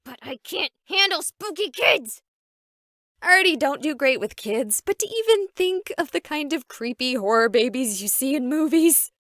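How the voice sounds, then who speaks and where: High, bright, excitable young streamer woman. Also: moderate pace, no echo, clean studio sound. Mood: dread, exasperated. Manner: expressive, theatrical, playful. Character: excitable, chatty young woman streamer exploring a haunted hospital. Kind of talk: visual novel voice acting, livestream chatter.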